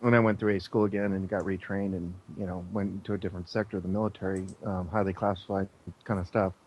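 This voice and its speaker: A man speaking as a guest in a conversational radio interview, halting but opinionated. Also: low voice, level tone, a little mellow, breathy edge, highs cut off. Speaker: interview guest, former air traffic controller.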